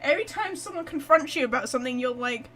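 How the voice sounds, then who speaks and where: A woman's voice: high voice, lively intonation, fast talker, a bit bright, slight background noise. A woman giving casual, playful, reactive commentary while playing a game. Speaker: game streamer.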